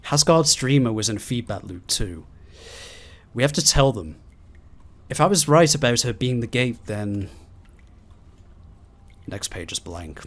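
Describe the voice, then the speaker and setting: Expressive man with widely swinging pitch. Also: a little slow, pauses often. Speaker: gaming video host. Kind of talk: commentary and dramatic reading of game documents.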